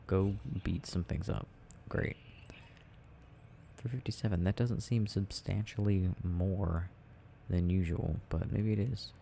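A young adult man rambling softly and casually in a quiet, sleepy murmur as he plays a game, in an ASMR style. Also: on the low side, creaky. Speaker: audio roleplay creator. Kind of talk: soft casual rambling while gaming, ASMR sleep aid. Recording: faint background noise.

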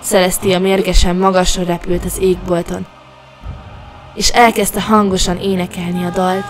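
A woman narrator reading a scripted fairy tale for an animated story. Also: lowish voice, soft background music.

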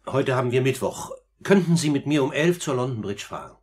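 An actor playing an earnest, discreet older gentleman in a 1950s German radio play, speaking formally and with measured courtesy. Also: medium pitch, animated, husky. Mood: courteous. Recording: old radio recording, faint noise.

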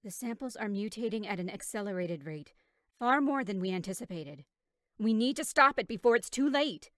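A woman's voice: mid-range voice, animated, moderate pace, dry recording, clean studio sound, close to an everyday voice. A woman playing a poised, self-possessed grown female character in dramatic voice acting. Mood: urgent. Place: treated home studio. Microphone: studio condenser microphone.

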